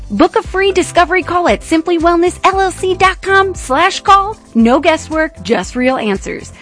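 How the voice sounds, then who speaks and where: A woman, a wellness show host, reading an upbeat, reassuring radio promo. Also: high voice.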